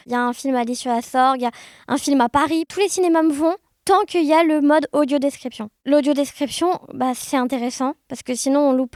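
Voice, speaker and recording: A woman chatting casually as an interview guest, telling anecdotes in an animated, conversational way. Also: high voice, no echo, studio quality. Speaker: podcast guest, blind guide dog user sharing experiences.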